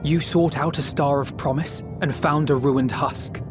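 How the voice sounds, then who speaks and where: A man plays a compassionate young male hero in a fantasy video game. His fairly high voice is solemn and deliberate, formal in phrasing, with music clearly behind it. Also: fairly even intonation, natural voice. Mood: solemn empathy. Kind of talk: scripted video game cutscene acting.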